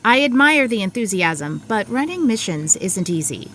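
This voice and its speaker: A dry, sensible tech agent. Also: female voice, mid-range voice, very animated, normal speed, fairly bright tone, slight background noise. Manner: dry and matter-of-fact. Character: dry, sensible female agency tech expert. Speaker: Zelda. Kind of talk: comedy audio drama acting.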